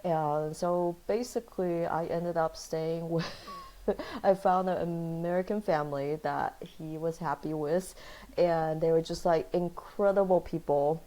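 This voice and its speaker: A woman's voice: low-pitched, unhurried, rough recording. A woman raised in Taiwan and Kansas, a guest in a casual interview, telling her story with frequent laughter. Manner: animated storyteller, laughs often.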